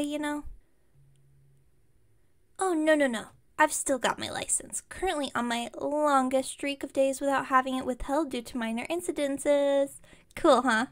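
Woman acting as high-voiced, bubbly chatty witch. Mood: upbeat, friendly. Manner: bubbly, rambling, friendly. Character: chatty, enthusiastic, slightly incompetent young witch shopkeeper. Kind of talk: ASMR roleplay audio, one-sided chat with listener.

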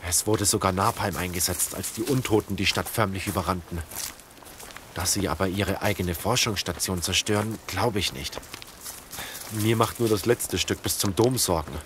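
A man playing a calm, strategic leader of survivors who explains things in a measured way in an audio drama. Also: deep voice, a little hiss or hum. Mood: earnest, calm.

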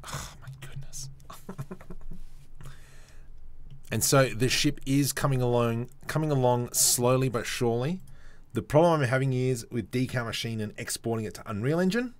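A young man hosting a casual software tutorial, chatty and self-mocking as he thinks aloud while he works at a desk mic. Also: mid-range voice, moderate pace, long pauses. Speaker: 3D modeling tutorial host.

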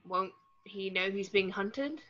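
A woman's voice: mid-range voice, takes it slowly, pushed and bright. A woman reading the part of a loyal, blunt British teenage boy wizard at a table read on a video call. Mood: earnest. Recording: muffled lo-fi video call audio.

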